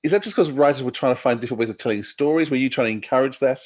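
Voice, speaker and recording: Male podcast interviewer, medium pitch, conversational and slightly tentative questioning. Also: very fast, a little mellow.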